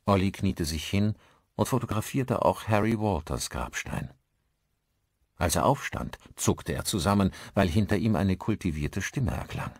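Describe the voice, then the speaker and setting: Deep-voiced male audiobook narrator. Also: animated, measured pace, slightly rough, dry recording, studio quality. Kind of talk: scripted narration of a mystery horror novel.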